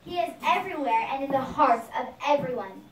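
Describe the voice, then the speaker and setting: A woman's voice: high voice, brisk, natural voice. A woman voicing a saintly, fearless young boy prince who preaches earnestly in a puppet show, sounding recited. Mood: pleading, preaching. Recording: echoey noisy room, far from mic.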